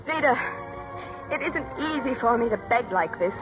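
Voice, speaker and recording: Weary, devoted American mother played by an actress, 1940s radio drama, high-pitched voice, muffled old recording with music. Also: animated, normal speed, natural voice. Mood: urgent, pleading. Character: weary, pleading mother, devoted to her estranged daughter.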